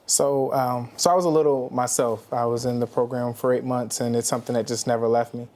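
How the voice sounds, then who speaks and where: A man who is a mentor answers interview questions, with many pauses and "um"s. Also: mid-range voice, level tone, a little mellow. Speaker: mentor guest.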